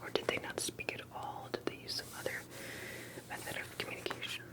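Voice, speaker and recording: A woman whispers throughout in a soft, breathy, unvoiced tone. She plays an interviewer in an ASMR roleplay, posing her questions carefully and deliberately.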